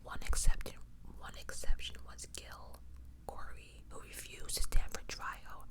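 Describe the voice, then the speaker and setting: A woman whispering true crime stories for ASMR, with casual, rambling asides in a breathy, unvoiced voice. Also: a little slow. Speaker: ASMR host.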